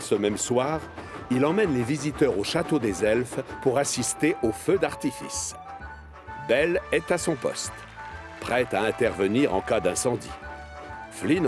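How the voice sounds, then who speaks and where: Man, children's cartoon narrator, medium-pitched voice, scripted storytelling, clear music underneath.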